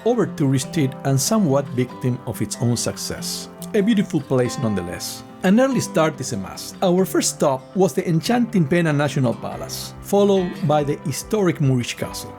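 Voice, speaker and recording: A man with an Argentine accent giving a measured, scripted voice-over for a travel vlog. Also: mid-range voice. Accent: Argentine Spanish. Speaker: travel vlogger. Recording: voice-over with guitar music behind. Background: guitar music.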